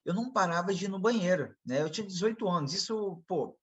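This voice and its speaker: A man's voice: high voice, expressive, fast, fairly bright tone, husky, highs cut off. A Brazilian man, an MMA fighter, telling a long story in a casual interview, rambling and repeating himself as he quotes others. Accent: Brazilian Portuguese.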